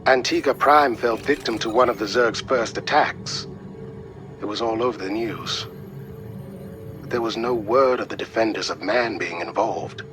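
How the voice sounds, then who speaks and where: An actor playing a steady military advisor in a game cutscene, explaining things in a measured way. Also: male voice, lowish voice, music in the background, lightly acted. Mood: businesslike. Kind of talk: video game cutscene acting.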